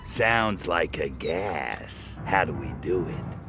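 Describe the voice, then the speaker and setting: A man playing a small, cocky, sadistic alien invader in a video game, with a gravelly, sardonic, menacing drawl. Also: sits low, expressive, slow pace, exaggerated voice. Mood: wry. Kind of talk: video game cutscene acting. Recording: music behind the voice.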